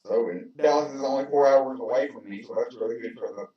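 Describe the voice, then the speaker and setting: A man explaining web hosting in casual chat on a video call, halting and repeating words as he goes. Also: mid-range voice. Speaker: tech-savvy participant on a video call. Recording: echoey, distant, dull call audio.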